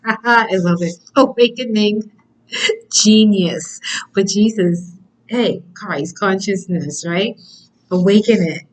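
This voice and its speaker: A woman giving a spiritual oracle card reading in a gentle, affirming way, with frequent ums and soft laughs, her voice sometimes dropping low. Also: rough recording.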